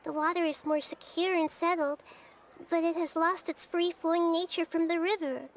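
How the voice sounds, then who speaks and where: A woman voicing a small, gentle, wise child goddess in a video game, light and childlike, speaking in a measured, articulate way. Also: extremely high pitch, fairly even intonation, slight background noise. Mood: wistful, earnest. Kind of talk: video game dialogue acting.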